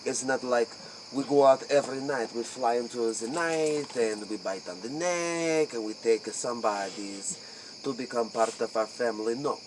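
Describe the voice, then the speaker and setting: A man playing an aloof, ancient aristocratic vampire count in a spoof interview, with an Eastern European accent and halting, pompous broken English. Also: mid-range voice, background noise, natural voice. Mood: haughty. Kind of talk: improvised spoof interview in character.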